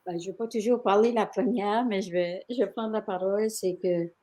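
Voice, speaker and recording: A woman speaking as a panelist in an online discussion, thoughtful and halting, pausing often on fillers as she builds her point. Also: medium pitch, steady tone, mellow. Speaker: webinar panelist.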